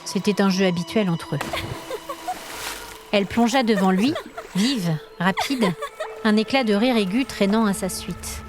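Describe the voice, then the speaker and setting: A woman's voice: mid-range voice, measured pace, long pauses, over music. Woman narrating a suspenseful story. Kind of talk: scripted dramatic story narration in French.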